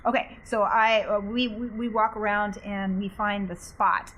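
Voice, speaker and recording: A woman's voice: mid-range voice, steady tone, measured pace, fairly bright tone, low-quality recording. A woman chatting casually as a player in a tabletop role-playing game, thinking aloud hesitantly. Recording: faint background noise.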